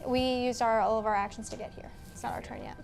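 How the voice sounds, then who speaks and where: A woman's voice: a little high, very animated, fast talker, vocal fry. A woman playing a tabletop role-playing game, talking casually and bluntly, slipping in and out of character. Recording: music behind the voice. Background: music.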